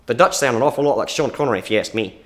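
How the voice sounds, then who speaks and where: A man's voice: medium pitch, racing, natural voice. A man improvising a laid-back Australian bloke with an Australian accent, rambling through a story. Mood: storytelling. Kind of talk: improvised comedy monologue.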